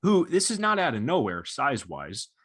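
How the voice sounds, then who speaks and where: A man's voice: mid-range voice, huge pitch swings, normal speed, a bit bright, husky. A young man in his twenties talking through sports analysis casually as a podcast host. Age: 20s.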